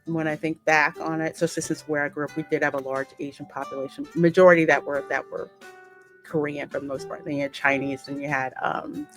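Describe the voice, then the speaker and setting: Low-voiced American woman chatting casually. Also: fairly even intonation, fairly quick, faint music behind. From United States. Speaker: host of a gaming channel. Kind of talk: casual commentary chat.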